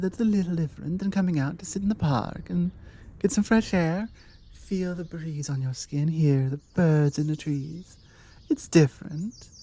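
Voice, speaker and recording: British female vampire, played by a woman, forward and self-assured, low mellow voice for ASMR roleplay. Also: full character voice. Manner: chatty, self-assured, playfully insistent. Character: forward, confident female vampire making flirty small talk.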